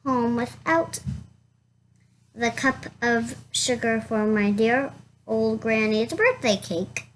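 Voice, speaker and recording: A chatty wolf from a children's storybook , read by a woman makes his excuses in a halting, protesting way. The voice is slightly high and slow, with faint noise behind it. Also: a bit bright, full character voice. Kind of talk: children's storybook read-aloud in first person.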